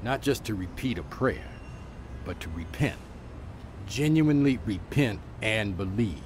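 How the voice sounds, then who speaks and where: A man's voice: on the low side, expressive, a little slow, a little hiss or hum. An actor playing an earnest man who lives among the homeless and tells a story in a radio drama. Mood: solemn storytelling. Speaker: storyteller and street preacher.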